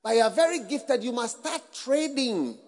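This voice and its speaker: A man with a very high voice delivers a sermon to a full hall. He speaks rhetorically, repeating phrases and building to shouts. A long reverb from the hall trails each line. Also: lively intonation, normal speed. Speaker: preacher.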